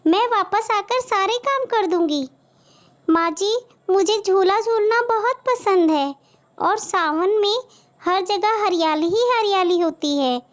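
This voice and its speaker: Woman voicing a high-pitched sweet young bird wife. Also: takes it slowly. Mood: eager, pleading. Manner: polite, pleading, eager to please. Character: sweet dutiful young daughter-in-law bird. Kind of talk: Hindi moral-story cartoon acting.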